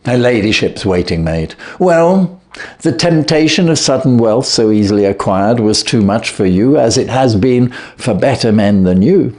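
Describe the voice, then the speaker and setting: A man reading aloud and voicing a stern, clever detective who calmly accuses a thief. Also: mid-range voice, close to an everyday voice. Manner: stern, cutting, measured. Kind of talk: reading a classic story aloud.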